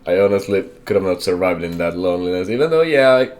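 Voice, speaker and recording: Deep-voiced young man, halting and candid. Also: lively intonation, darkish tone. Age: young adult. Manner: halting, hesitant, self-correcting, candid. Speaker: vlogger. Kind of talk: personal life update.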